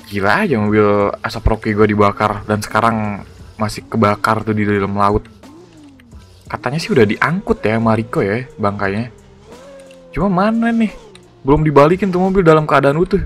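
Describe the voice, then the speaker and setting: A man's voice: on the low side, normal speed, long pauses, faint music behind. A man chatting casually over gameplay, slangy and exclamatory, often repeating words. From Indonesia. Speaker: gaming YouTuber. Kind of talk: casual excited gameplay chat.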